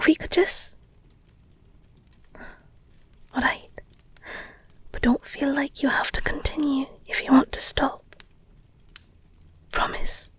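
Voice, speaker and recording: A woman's voice: sits high, fairly even intonation. Woman acting a whispering, tender werewolf girlfriend. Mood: gentle, hesitant. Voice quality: breathy, whispery. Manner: hushed, halting, soothing. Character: protective, guilt-ridden female werewolf girlfriend, tenderly comforting. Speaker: audio roleplay performer. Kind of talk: intimate whispered audio roleplay.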